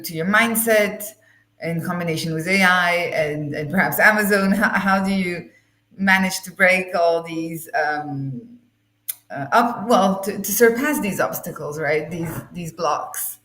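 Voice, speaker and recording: Slow-paced woman interviewer in an echoey room. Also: fairly low pitch, a bit bright. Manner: hesitant, pauses while forming long questions. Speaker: live stream host, interviewer. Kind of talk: conversational interviewing. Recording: roomy, echoey sound.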